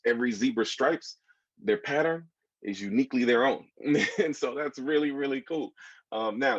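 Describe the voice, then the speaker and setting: A man's voice: mid-range voice, breathy edge. An American man, a children's book author giving an informal talk with slides in a conversational way, often putting questions to his listeners. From United States. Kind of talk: informal audience presentation with slides. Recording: dull, echoey room sound.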